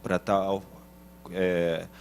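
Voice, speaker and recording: A man with a somewhat low voice speaks up from the audience, asking his question politely and haltingly, often restarting his words. A faint hum sits under the recording. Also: flat delivery, gravelly, a little mellow. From Pernambuco, Brazil.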